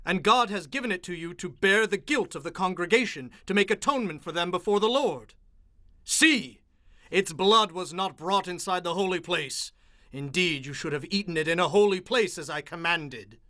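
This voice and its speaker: A man's voice: high-pitched, animated, moderate pace, bright, forceful tone, dry recording, studio quality. A man playing a stern, commanding prophet in a dramatized Bible audio production, speaking in a declamatory, authoritative way. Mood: angry, rebuking.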